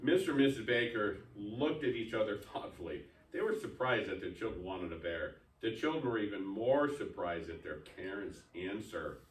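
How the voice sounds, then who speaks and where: Echoey, animated male teacher reading aloud. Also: fairly low pitch, moderate pace, hardly any pauses. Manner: encouraging, prompting, animated when reading out parts. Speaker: teacher leading a reading lesson. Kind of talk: classroom instruction with expressive reading aloud. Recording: roomy, echoey, picked up from a distance.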